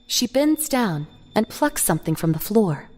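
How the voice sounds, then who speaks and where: A woman's voice: medium pitch, big pitch swings, a little slow, darkish tone. A woman narrator reading a scripted story for an audio drama.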